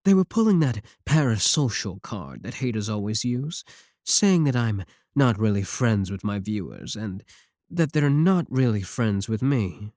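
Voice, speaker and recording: A man's voice: lowish voice, big pitch swings, unhurried, a little mellow, dry recording, studio quality. A man playing a clingy, possessive yandere VTuber, pleading defensively and intimately with a single viewer in an ASMR roleplay. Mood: indignant.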